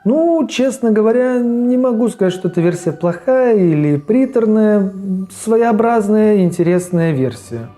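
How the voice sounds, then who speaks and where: A man's voice: high voice, animated, a little slow, few pauses, mellow. A man hosting a cartoon review in a casual video essay narration style. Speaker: host of a cartoon review show. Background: background music under the voice.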